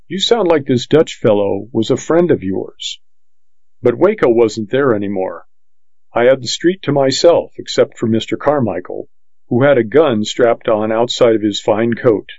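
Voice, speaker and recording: A man reading a western audiobook in the first person, with measured, plain storytelling. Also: mid-range voice, darkish tone, no echo. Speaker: audiobook narrator. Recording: dull, lo-fi dry audiobook recording.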